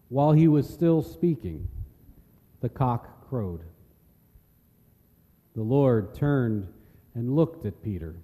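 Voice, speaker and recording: A man narrating scripture in a measured, solemn way as part of a dramatic reading. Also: mid-range voice, mellow. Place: large reverberant church. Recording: long church hall reverb.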